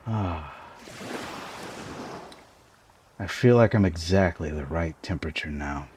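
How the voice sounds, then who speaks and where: A man's voice: low voice, normal speed, darkish tone, slight background noise, natural voice. A man playing a tiny shrunken boyfriend in an intimate ASMR roleplay, playful, teasing and doting, with theatrical exclamations. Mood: tender, dreamy.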